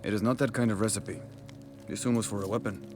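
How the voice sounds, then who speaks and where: A man playing a grave, proud rebel warrior leader who speaks formally and deliberately in a video game cutscene. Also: on the low side, fairly even intonation, a little mellow, natural voice. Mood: dry, guarded. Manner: formal, deliberate, few contractions. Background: faint background music.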